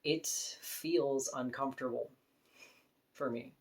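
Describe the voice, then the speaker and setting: A woman with a deep voice, talking frankly and casually to camera in an opinion vlog, now and then laughing softly. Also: steady tone, slow pace, low-quality recording. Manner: frank, conversational, with soft laughs. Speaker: vlogger.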